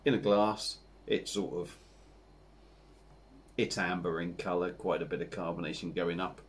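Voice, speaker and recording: A man in his forties with an English accent, chatting casually to camera as he reviews a beer at his home bar, rambling and relaxed with lots of fillers. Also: sits low, slight background noise. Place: home bar room with dartboard and signs.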